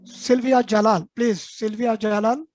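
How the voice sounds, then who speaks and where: A woman's voice: on the high side, steady tone, unhurried, a little mellow, no room sound. A woman hosting an online meeting, formally calling on attendees by name and introducing the speaker. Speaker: meeting host. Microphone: video call.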